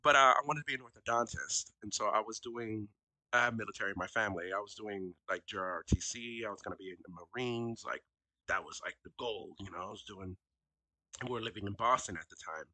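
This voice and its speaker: Bright-voiced man, Haitian Creole native. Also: fairly low pitch, dry recording, low-quality recording. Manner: reflective storyteller, quick to laugh. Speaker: podcast guest, actor and educator. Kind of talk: casual interview conversation.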